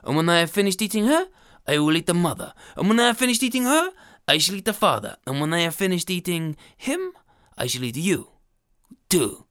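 A woman voicing a greedy, menacing male goat who slowly boasts whom he will eat. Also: lowish voice, very animated, no echo, clean studio sound. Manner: slow, grand threats, shrieking shouts when bitten.

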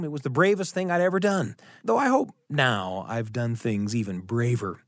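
Man hosting radio storytelling, very lively intonation. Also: medium pitch, no room sound, studio quality. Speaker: radio show host. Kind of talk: scripted storytelling radio narration.

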